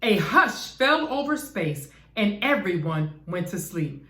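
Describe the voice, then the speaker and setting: Lively woman reading a bedtime story. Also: mid-range voice, takes it slowly. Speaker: storybook reader. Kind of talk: expressive children's bedtime story narration. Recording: echoey room.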